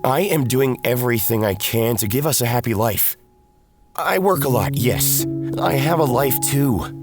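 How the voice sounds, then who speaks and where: A man's voice: medium pitch, animated, unhurried. A man playing a weary, defensive former hero pulled back into duty in an audio drama, with music behind him. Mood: frustrated, pleading. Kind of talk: audio drama acting with first-person narration.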